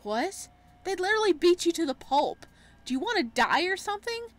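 A woman's voice: very high-pitched, sing-song, brisk, pitched above the performer's own voice. A woman voicing a blunt, caring, exasperated university student in a visual novel.

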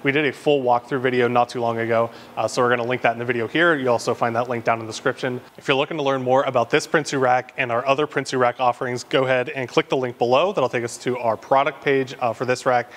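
A young man casually walking through a vehicle install in a large garage workshop, relaxed and filling pauses with ums and uhs. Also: mid-range voice. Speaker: off-road shop presenter. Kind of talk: casual product walkthrough.

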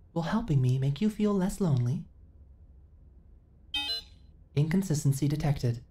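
A mellow, low female android voice, played by a woman, built for a sci-fi roleplay. The companion speaks in formal, literal phrases with earnest naivety, yet the pitch moves in wide swings as it learns to feel. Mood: flat, inquisitive. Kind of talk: ASMR sci-fi audio roleplay.

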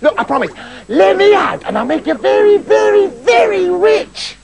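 A man voicing a blustering, dim-witted male spirit from a bottle, in a loud, rough voice, in a storybook reading. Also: very high voice, big pitch swings, moderate pace, a little hiss or hum, voice pushed up, full character voice. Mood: begging.

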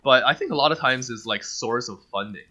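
A man from China, a native Mandarin speaker, talking as a studio owner in a relaxed interview over a video call, with many fillers. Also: mid-range voice, a little fast. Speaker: studio owner, podcast guest. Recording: dull lo-fi call audio.

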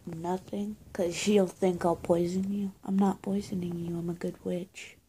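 A young adult woman doing a soft-spoken, improvised roleplay as a friendly good witch of high-school age, talking casually and haltingly to the viewer and often dropping to a near-whisper. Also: flat delivery, a little hiss or hum, poor audio. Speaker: roleplay video creator. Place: small indoor room, plain walls. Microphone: phone or webcam at arm's length.

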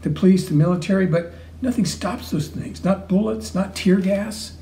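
A man's voice: high voice, normal speed, warm tone. A man giving a theatrical read-aloud of comic book dialogue, acting out the parts. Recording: noticeable background noise.

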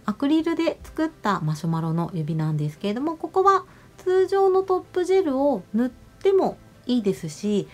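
A woman nail artist narrating a tutorial voice-over, explaining each step politely and giving gentle advice. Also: sits high, sing-song, moderate pace, few pauses. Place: voice-over over close-up salon footage. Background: music.

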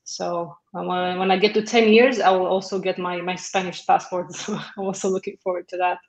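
A woman's voice: on the low side, level tone, fairly quick. A young woman from Romania, an academic advisor on a video call from her office, speaking in a friendly, hesitant way with fillers. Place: office, indoors. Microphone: laptop webcam on video call. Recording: echoey office, dull webcam sound.

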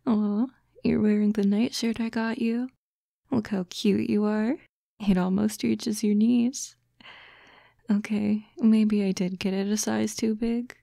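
A woman voicing a doting, teasing fox-girl girlfriend in a soft, breathy, coaxing ASMR roleplay, close to the microphone. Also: mid-range voice, steady tone, no echo. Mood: affectionate, teasing. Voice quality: soft, breathy, often whispering. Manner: slow, coaxing, cooing. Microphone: close, dry microphone.

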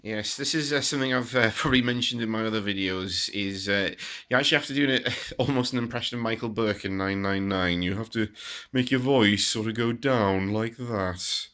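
A man giving a casual, halting demonstration of a homemade gadget. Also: lowish voice, pushed and bright. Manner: hesitant, halting explanation. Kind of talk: casual unscripted product demonstration. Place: indoors at a wooden table.

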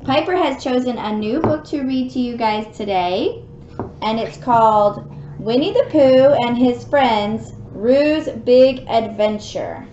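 Woman reading to a little kid, slow and sing-songy, echoey room with some hiss. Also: on the high side. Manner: expressive, sing-song, child-directed. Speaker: children's story time reader. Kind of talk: reading a picture book aloud to a small child. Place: room at home with bookshelves. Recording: dull lo-fi home recording with noise.

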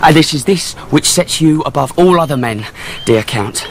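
An actor playing an affected young fiancé, fawning and evasive, with a florid theatrical manner in a radio drama. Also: male voice, a little high, lively intonation, moderate pace, slight background noise.

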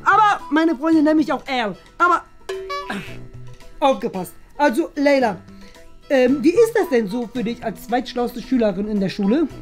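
A man acts out a doting, boastful dad in a comedy sketch. The voice sits very high and rambles on earnestly, with faint music behind it. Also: expressive, normal speed. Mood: patronising, boastful. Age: young adult. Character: chatty, boastful, doting father visiting his son's classroom. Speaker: performer in a school comedy sketch. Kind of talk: improvised German comedy sketch. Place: small bright classroom indoors.

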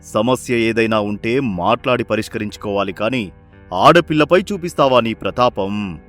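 A commanding village headman from a moral-story cartoon, acted by a man, speaking in a lowish pitch with formal, fatherly authority. Music plays behind him. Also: normal speed, fairly bright tone, lightly acted. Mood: indignant scolding.

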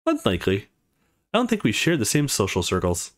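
A man's voice: fairly low pitch, huge pitch swings, a little fast. A man voicing a haughty, pretentious young socialite woman in a visual novel.

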